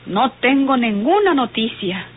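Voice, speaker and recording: A woman's voice: a little high, big pitch swings, measured pace, slight background noise. An actress playing a brash, sardonic Mexican woman of the streets in an old radio drama. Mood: bitter. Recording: tinny old radio recording.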